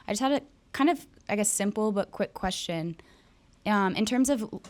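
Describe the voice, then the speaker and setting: A woman asking a friendly, tentative question after a lecture, full of hedges. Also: lowish voice. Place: lecture room.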